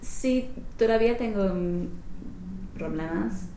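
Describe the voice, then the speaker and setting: A woman's voice: mid-range voice, slow, mellow. A young woman answering interview questions as a language study participant, hesitant, with many fillers, restarts and frequent laughter. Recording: roomy echo, faint background noise.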